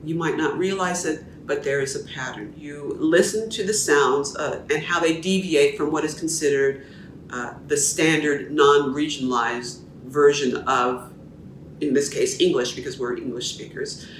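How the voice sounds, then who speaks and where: Echoey woman dialect coach, measured. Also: low-pitched, distant mic, slight background noise. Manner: measured, explanatory, teacherly. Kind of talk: explaining her work to camera. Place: small room indoors at home. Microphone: camera at a distance. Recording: echoey room, voice a little off-mic.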